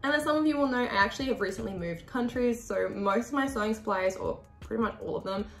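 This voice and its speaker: A woman's voice: on the high side, fast, creaky, roomy sound, soft background music. A woman, chatting to camera as she hosts a sewing tutorial from her desk. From Australia. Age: 20s. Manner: chatty, expressive hand gestures. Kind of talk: casual tutorial talk to camera. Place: small room at a desk with a sewing machine.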